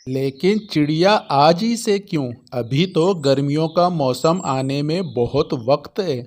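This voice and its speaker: A man's voice: on the high side, measured pace, darkish tone, lightly acted. A man voicing a hesitant, deferential male crow, a henpecked husband in a cartoon. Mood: questioning.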